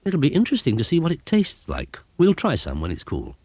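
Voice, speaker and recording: A man as a brisk, lively storybook witch. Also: a little high, no room sound, pitched above the performer's own voice. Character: brisk, bossy but kindly woman witch. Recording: hissy 1980s cassette tape transfer.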